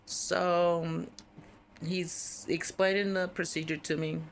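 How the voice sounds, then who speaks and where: A woman with a deep, raspy voice, hoarse while healing, telling a personal story in a casual, rambling way with many fillers. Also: flat delivery, slow pace, a little hiss or hum. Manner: rambling, frequent fillers and restarts. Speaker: vlogger.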